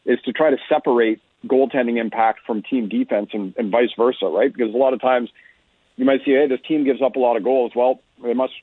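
A man giving conversational sports analysis as a guest, explaining in a measured way over a remote call-in line. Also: medium pitch, fairly even intonation, husky, dry recording. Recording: tinny phone-like radio line.

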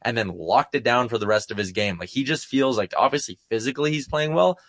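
A man's voice: mid-range voice, a little fast, a bit bright, dry recording, studio quality. A man giving wordy, enthusiastic, opinionated hockey analysis in a casual sports show chat.

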